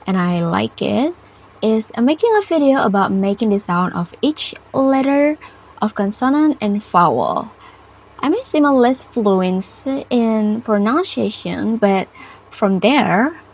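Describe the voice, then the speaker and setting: A young woman with an Indonesian accent giving a prepared personal reflection to camera in halting, careful non-native English. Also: mid-range voice, low-quality recording. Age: young adult. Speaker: university student.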